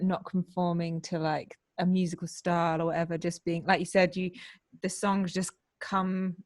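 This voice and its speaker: Low-pitched woman, agreeable casual chat. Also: dry recording, clean studio sound. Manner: agreeable, tentative, fills with "like" and "kind of".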